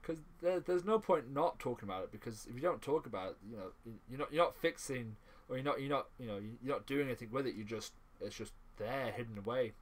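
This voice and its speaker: Male podcast host, mid-range voice, informal and halting, tends to repeat himself. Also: mid-range voice, expressive. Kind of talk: casual chat.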